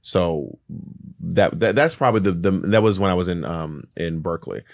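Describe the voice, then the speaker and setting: A man co-hosting a podcast in casual chat, teasing and prodding with quick questions. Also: sits low, vocal fry, no room sound, studio quality. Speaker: podcast co-host.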